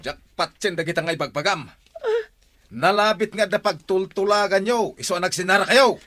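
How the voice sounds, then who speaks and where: An actor playing a jealous, hot-tempered husband who shouts accusations in a comedy drama. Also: male voice, high voice, normal speed. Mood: jealous rage. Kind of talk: amateur comedy drama acting.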